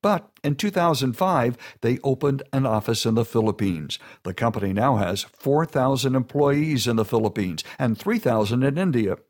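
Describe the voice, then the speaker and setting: A man giving a steady, informative scripted narration. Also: mid-range voice, normal speed, hardly any pauses.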